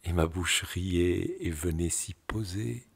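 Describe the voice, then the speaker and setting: Husky, deep man reciting poetry dramatically. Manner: theatrical, declamatory, with dramatic pauses. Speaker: poetry reader. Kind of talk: expressive literary poetry recitation.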